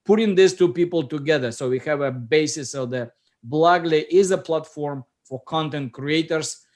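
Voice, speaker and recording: Middle-aged Russian-accented host, pitched a bit high, halting delivery. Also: male voice, darkish tone. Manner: halting, searching for words. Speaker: app founder and host. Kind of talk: casual video call chat.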